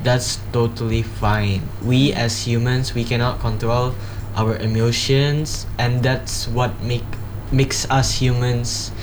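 A man's voice: medium pitch, little pitch movement. Earnest teen guy with Indonesian accent. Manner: earnest, halting, self-correcting. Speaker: student guest. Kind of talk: encouraging talk to classmates. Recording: background ambience noise.

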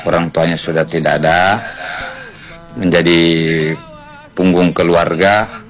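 A man's voice: low voice, fairly even intonation, slow, faint music behind. A man in a film cast giving an earnest, reflective message during a radio interview. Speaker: film actor, guest.

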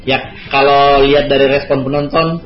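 A man, a guest on a radio interview, answers in measured phrases and pauses often between them. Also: mid-range voice, little pitch movement, roomy sound, lo-fi sound, faint music behind. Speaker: film guest.

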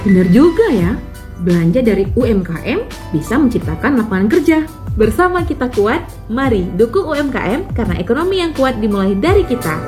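A woman's voice: on the high side, very animated, brisk. A woman acting as chirpy shopper in radio ad. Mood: upbeat, persuasive. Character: trend-loving woman and her patriotic friend chatting about shopping. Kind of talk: scripted public service radio ad acting. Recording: music bed under the voice.

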